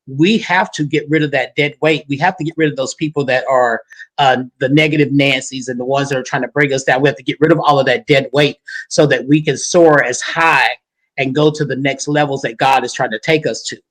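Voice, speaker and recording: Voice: medium pitch, fairly even intonation, a little fast, low-quality recording. A talk show host in their fifties chatting casually with a guest, sassy and folksy, repeating points for emphasis. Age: 50s.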